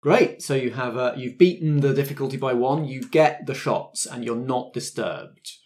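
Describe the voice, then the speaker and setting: A man narrates a tabletop roleplaying game as its game master, with a middle-pitched voice. He talks in a conversational way, thinking aloud as he goes. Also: expressive, normal speed, darkish tone.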